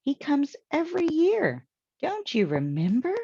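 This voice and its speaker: A busy lady of the house from a storybook, as a woman narrator puts her on, bossy yet good-humoured. She exclaims and instructs with big pitch swings in a mellow tone, on a dry, slightly muffled recording. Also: huge pitch swings, a little slow, vocal fry, no room sound, heavily acted. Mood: exasperated, explaining.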